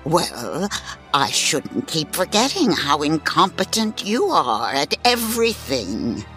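A woman playing a condescending old storyteller in a comedic audio drama, dry, deliberate and snide. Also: low voice, big pitch swings, pushed and bright, faint music behind, exaggerated voice. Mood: weary condescension. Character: condescending old female storyteller, long-suffering and superior.

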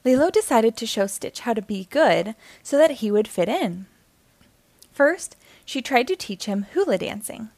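A woman's voice: fairly high pitch, sing-song, unhurried, a little mellow, no echo. A woman reading a children's story aloud as scripted narration.

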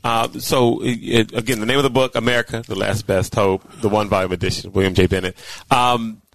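A man co-hosting a talk show, friendly and quick to laugh, with stumbling restarts. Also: lowish voice, fairly even intonation, creaky, a bit bright, no room sound, rough recording. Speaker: co-host. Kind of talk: casual radio talk show conversation.

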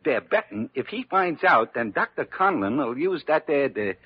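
A man's voice: mid-range voice, sing-song, slightly rough. An actor playing a cheeky male hospital orderly who stumbles over his words, in a 1950s radio drama on a dull old recording. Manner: halting, stumbling. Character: fumbling male hospital orderly, cheeky small-time gambler.

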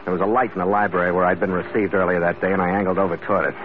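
A man's voice: deep voice, fairly even intonation, racing, close to an everyday voice. An actor playing a tough, wry sea captain who narrates his own adventures in 1940s radio style. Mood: tense narration. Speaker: lead actor narrating the story. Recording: dull old radio recording, loud music behind.

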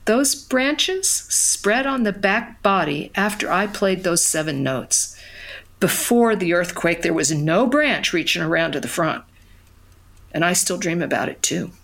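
Weary older mother, lower voice. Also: animated, measured pace, gravelly, fairly bright tone, exaggerated voice. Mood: haunted, wistful. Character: weary older mother, guarded, haunted by a memory. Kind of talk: dramatic fiction podcast monologue.

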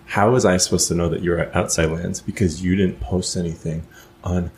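Low gravelly dude on a podcast, teasing his buddy, bit of noise behind. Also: fairly even intonation, brisk, a little mellow. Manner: enthusiastic, teasing. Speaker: podcast co-host. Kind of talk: casual chat.

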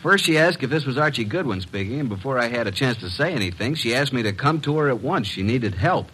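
A man acts a wisecracking detective's assistant in a vintage radio drama. The delivery is very animated and slangy, breezy and cheeky, on a noisy old broadcast recording. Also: mid-range voice, fast talker, lightly acted. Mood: urgent, puzzled. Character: brash, wisecracking male detective's assistant and narrator. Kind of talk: 1940s-50s radio drama acting.

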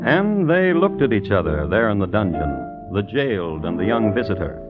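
A man's voice: on the low side, sing-song, moderate pace. A man giving scripted dramatic narration in 1950s radio style. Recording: muffled vintage radio recording. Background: loud plucked harp music.

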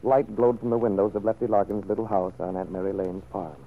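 A man's voice: on the low side, steady tone, brisk, gravelly. A man narrating a scripted old-time radio serial as a storyteller. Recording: muffled, noisy vintage radio recording.

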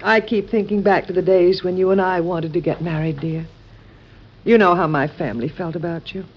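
A woman's voice: lowish voice, steady tone, normal speed, a little hiss or hum, lightly acted. An actress playing a devoted middle-aged wife and mother, sincere and reasoning, in an old-time radio drama. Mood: gently persuasive. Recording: muffled old radio recording.

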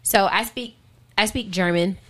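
A woman's voice: medium pitch, a little slow, fairly bright tone. An American woman speaking casually as an interview guest, informal and reflective, thinking aloud as she answers. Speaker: interview guest, singer and rapper.

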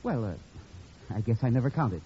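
An actor playing a polite, businesslike gentleman caller in old-time radio comedy, formal and hesitant, easily exasperated. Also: mid-range voice, animated, moderate pace, lightly acted. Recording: noisy, muffled old radio recording.

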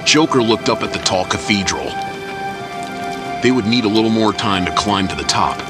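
A man's voice: on the low side, normal speed, loud music behind the voice. A man narrating a scripted action story as an audio drama. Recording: loud dramatic score and battle effects behind.

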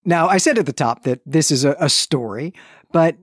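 A man's voice: fairly high pitch, animated, moderate pace, dry recording, studio quality. A man co-hosting a podcast, recapping and discussing a story in a conversational, storytelling way with rhetorical asides. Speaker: podcast co-host.

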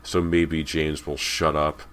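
A man's voice: very deep voice, normal speed, creaky, fairly bright tone, full character voice. A man voicing a grumbling, impatient middle-aged father in a storybook reading. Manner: muttering, impatient.

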